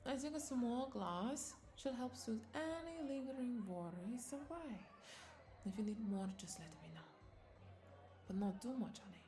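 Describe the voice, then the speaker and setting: A woman's voice: on the high side, lively intonation, measured pace, soft background music. A woman playing a flirty, doting vampire bartender in a roleplay audio drama, coaxing and affectionate as she speaks to the listener. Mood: soothing, attentive. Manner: coaxing, affectionate, lingering over words with soft chuckles.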